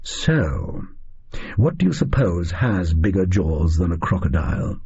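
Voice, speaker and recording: A man voicing a brisk, eccentric, self-assured Victorian detective with an English accent and a pedantic, emphatic manner in an audio story. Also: low voice, very animated, vocal fry, darkish tone, husky, highs cut off, voice pushed down, exaggerated voice. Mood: impatient, dramatic.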